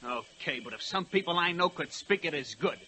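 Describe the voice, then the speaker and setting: A man's voice: a little high, expressive, a little fast, sharp tone, husky, exaggerated voice. An actor playing a brash, combative American express train with slangy tough-guy talk in an old radio play. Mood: scornful. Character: brash, streetwise male American express locomotive. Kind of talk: 1940s radio play acting. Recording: hissy 1940s radio recording.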